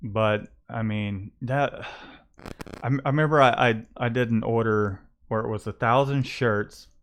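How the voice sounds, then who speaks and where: A man's voice: medium pitch, a little slow. A man hosting a casual livestream about his screen-printing shop, talking in a rambling way with many restarts and fillers.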